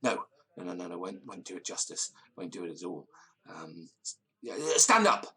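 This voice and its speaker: An actor playing a pompous, excitable gentleman who speaks in florid, theatrical language in an audio drama. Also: deep voice, normal speed, breathy edge, exaggerated voice. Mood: agitated, directing. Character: pompous, excitable Victorian-style gentleman storyteller. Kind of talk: dramatic first-person storytelling in audio drama.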